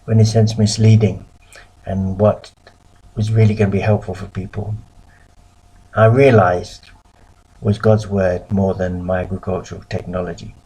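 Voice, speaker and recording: Low gravelly man from the UK. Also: level tone, mellow, rough recording. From United Kingdom. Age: middle-aged. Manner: measured, pausing often with ums. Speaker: missionary lecturer. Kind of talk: recorded lecture presentation.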